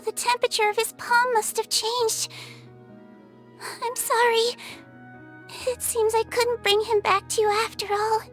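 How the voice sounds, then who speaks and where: A woman voicing a gentle young female melusine nurse in a game, speaking softly with calm reassurance. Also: very high voice, unhurried, long pauses, soft background music. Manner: soft, calm and kindly reassuring. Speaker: head nurse. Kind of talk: game cutscene voice acting.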